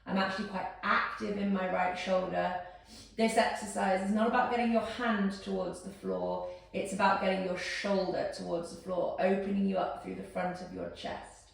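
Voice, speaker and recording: Woman, fitness instructor, calm cueing, slight fry, recorded off-mic in a very echoey room. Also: medium pitch, normal speed, distant mic. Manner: calm step-by-step cueing, counting down. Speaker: posture instructor. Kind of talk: guided exercise instruction.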